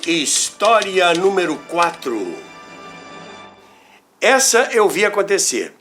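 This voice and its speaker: An older man with a Brazilian accent tells anecdotes in a theatrical, deliberate way, with dramatic pauses and asides to the listener. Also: high voice, sing-song, a bit bright, soft background music. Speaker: storyteller, former radio worker. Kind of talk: animated anecdotal storytelling podcast narration.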